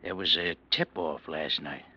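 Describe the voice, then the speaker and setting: An actor playing a menacing male gangster boss who threatens quietly in a 1940s radio drama, on a muffled old recording. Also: extremely low pitch, fairly even intonation, measured pace, a little hiss or hum, full character voice.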